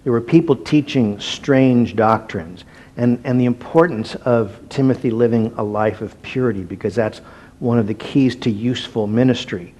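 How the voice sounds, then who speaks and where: A man teaching an evening Bible study in a relaxed, self-deprecating way, with fillers and restarts, slowing to stress each word when he reads scripture. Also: mid-range voice.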